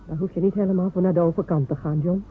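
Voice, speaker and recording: A woman's voice: fairly low pitch, fairly even intonation, fast talker, breathy edge. A woman playing proud, waiting wife Jemima. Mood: firm. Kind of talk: radio play acting. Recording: narrow phone-like radio recording with faint hiss.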